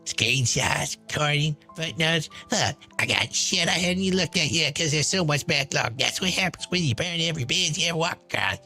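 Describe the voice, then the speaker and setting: Male narrator playing brash woman, raised pitch, mocking, profane, boastful, story monologue, music behind. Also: animated, moderate pace, hardly any pauses, bright, forceful tone, full character voice. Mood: vindictive. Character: brash, venomous, smug, chain-smoking trash-talking woman. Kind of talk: dramatized character monologue.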